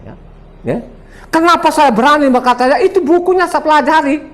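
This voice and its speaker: A man's voice: very high-pitched, a little fast. A man in his seventies from Sumba, speaking. He preaches a combative, boastful sermon, laughs often and quizzes his listeners. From Sumba, Indonesia. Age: 70s. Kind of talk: sermon to a congregation.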